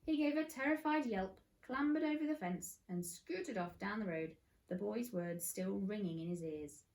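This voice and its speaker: A woman's voice: medium pitch, normal speed, darkish tone, rough recording. A woman narrating a children's story expressively, like a teacher reading aloud.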